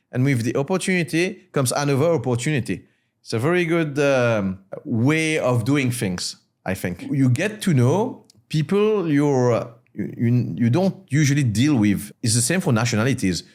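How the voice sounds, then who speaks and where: A man's voice: mid-range voice, expressive, normal speed, darkish tone. A man from Mauritius speaking as an interview guest, an entrepreneur explaining his views in short, emphatic, repeated phrases. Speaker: guest, startup founder and CEO.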